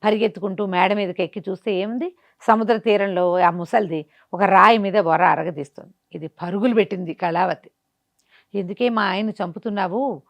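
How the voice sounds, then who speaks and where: Female storyteller, mid-pitched, conversational and animated, tells folk tales with rhetorical questions. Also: brisk, vocal fry.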